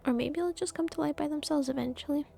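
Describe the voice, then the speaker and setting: A woman livestreamer chatting casually over a game, musing informally and hesitantly with pauses. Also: high-pitched, gravelly, dark tone. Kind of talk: casual chat over gameplay. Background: game music.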